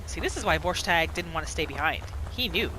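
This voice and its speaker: A woman hosting a gaming video, giving casual playthrough commentary in an expressive, exclamatory way. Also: low-pitched, a little fast, background noise. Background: game music.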